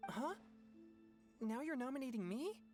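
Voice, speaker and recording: Modest youth, slightly high voice. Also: female voice, lively intonation, a little slow. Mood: surprised, deferential. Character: modest, serious youthful exorcist. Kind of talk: animated game cutscene dialogue. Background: background music.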